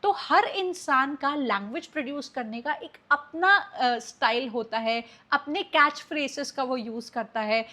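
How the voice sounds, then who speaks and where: A woman's voice: high voice, a little slow, hardly any pauses, bright, forceful tone. A woman from India with an Indian accent, teaching an exam-prep lecture and explaining terms while mixing Hindi and English.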